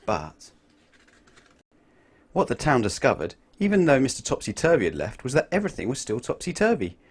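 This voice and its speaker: A man reading a children's storybook aloud in an expressive way, putting questions to his young listeners. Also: mid-range voice, moderate pace, slightly rough. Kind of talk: animated children's storybook narration.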